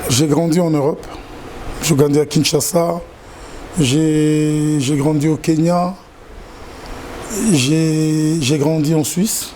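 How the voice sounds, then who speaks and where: A Congolese man with a Congolese French accent, talking as an interview guest in a slightly raised register. His tone is warm and mellow, and he speaks haltingly, often repeating words before going on. A faint hiss sits under his voice. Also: on the high side, fairly even intonation. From Democratic Republic of the Congo. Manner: halting, repeats words, slow measured delivery. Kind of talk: casual interview chat.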